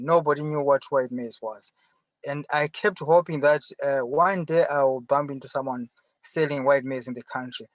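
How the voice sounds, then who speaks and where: A middle-aged man with a Zimbabwean accent answering interview questions conversationally from inside a car, often pausing and rephrasing. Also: medium pitch, dry recording, low-quality recording. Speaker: interview guest, farmer. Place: sitting in a parked car.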